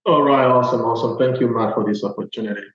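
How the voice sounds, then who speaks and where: A man's voice: mid-range voice, steady tone, very fast, fairly bright tone. A man with a Nigerian accent answering interview questions conversationally as a guest, emphatic and checking in as he makes each point. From Lagos, Nigeria. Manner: emphatic, punctuates points with "okay" and "all right". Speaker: podcast guest, agile transformation consultant. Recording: echoey room, slightly dull audio.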